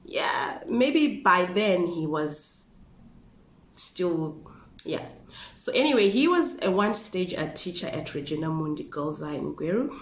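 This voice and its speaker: Low hoarse zimbabwean lady with a flu, chatty crime storytime, echoey little room. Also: big pitch swings, a little slow. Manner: chatty, asides and rhetorical questions. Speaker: true crime vlogger. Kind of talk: casual true crime storytelling. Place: small room indoors.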